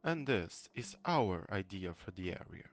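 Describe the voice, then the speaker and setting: A man's voice: deep voice, sing-song, measured pace. A young man from Brazil steadily reading a scripted presentation. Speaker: student presenter. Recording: faint music behind.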